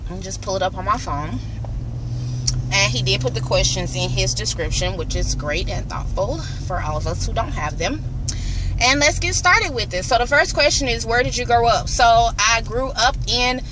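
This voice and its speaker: A woman's voice: mid-range voice, lively intonation, moderate pace. Woman, young adult, informal and chatty, in a car, faint background hum. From Birmingham, Alabama, US. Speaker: vlogger. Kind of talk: casual chat to camera. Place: in a car, driving home.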